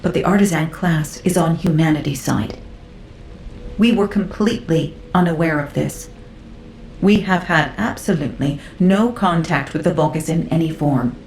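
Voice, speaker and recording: A woman playing a grave, guarded councilor in a video game cutscene, speaking in a measured, formal way. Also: low voice, darkish tone, a little hiss or hum. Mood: grave, earnest. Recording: echoey, faint music behind.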